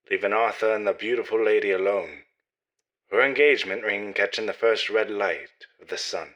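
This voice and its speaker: A man's voice: lowish voice, fairly bright tone, poor audio. A man announcing in a theatrical, florid old-time radio serial style. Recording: music behind the voice.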